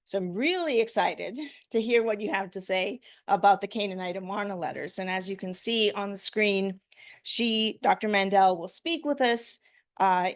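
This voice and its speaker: A woman standing in a lecture room, reading a formal speaker introduction in a measured way. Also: medium pitch, no room sound, studio quality. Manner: measured, reads from notes. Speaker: faculty member introducing the speaker.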